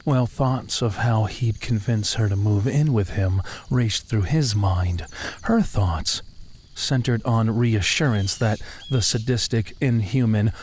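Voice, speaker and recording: A man's voice: lowish voice, a little mellow, slight background noise. A man reading scripted story narration as the narrator.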